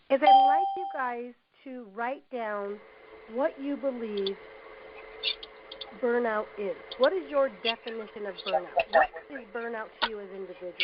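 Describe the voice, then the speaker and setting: Hoarse woman leading a training webinar. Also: a little high, a little hiss or hum. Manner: encouraging, repeats "you know". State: hoarse from a cold. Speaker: webinar presenter. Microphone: computer mic on a webinar call. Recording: dull lo-fi web audio.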